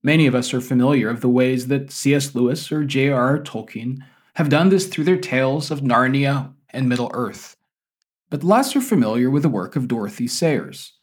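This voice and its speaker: A man speaks as a podcast host at a middle pitch. His phrasing is measured and articulate, like a teacher introducing a subject and guiding a conversation. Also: expressive. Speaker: university teacher. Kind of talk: scripted podcast introduction, then conversational interviewing.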